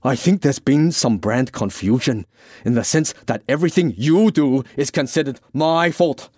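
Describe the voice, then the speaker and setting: A fussy male caretaker, put on by a man narrating in an audiobook tale. He is pedantic and easily wound up, with a raised, exasperated pitch. The sound is dry and close. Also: normal speed, a little mellow, no echo, lightly acted. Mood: pedantic, aggrieved.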